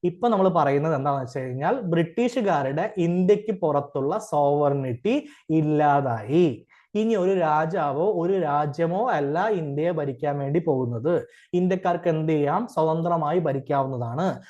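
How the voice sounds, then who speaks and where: A man's voice: fairly high pitch, darkish tone. A man with a Malayali accent giving an informal lecture as an exam coach, asking rhetorical questions, often checking for understanding and mixing in English terms. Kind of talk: informal classroom-style lecture.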